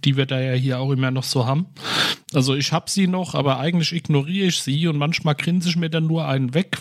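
Man, slightly high voice, casual podcast co-host, wry, flippant. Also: dry recording, clean studio sound. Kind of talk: casual chat.

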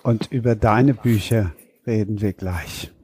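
A man's voice: fairly low pitch, unhurried, dark tone, breathy edge, no room sound. A man hosting a casual podcast, asking his guests jovial questions. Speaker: podcast host.

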